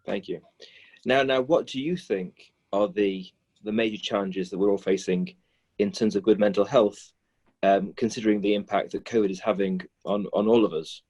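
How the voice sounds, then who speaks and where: Husky low-voiced rabbi interviewer. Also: male voice, fairly even intonation, normal speed, darkish tone. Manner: courteous, brief prompts. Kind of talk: interview questions. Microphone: video call.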